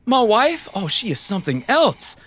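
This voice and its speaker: A fragile, befuddled mercenary father, acted by a man in an audio drama. His pitch lurches extremely widely as he speaks with faltering, fervent, deluded happiness. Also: wildly swooping pitch, moderate pace, fairly bright tone, no echo, exaggerated voice. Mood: dazed, earnest.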